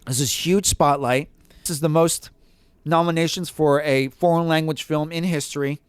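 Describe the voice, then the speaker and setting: A man's voice: on the high side, moderate pace, clean tone, no echo, studio quality. A man co-hosting a podcast, talking casually and animatedly, often acting out quoted lines. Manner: animated, quotes people in acted asides. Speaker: podcast co-host.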